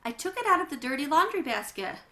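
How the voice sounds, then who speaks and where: A woman's voice: high-pitched, big pitch swings, fast talker, pushed and bright, pitched above the performer's own voice, full character voice. A woman voicing an earnest, literal-minded young boy in a children's storybook, speaking in a matter-of-fact, explaining way.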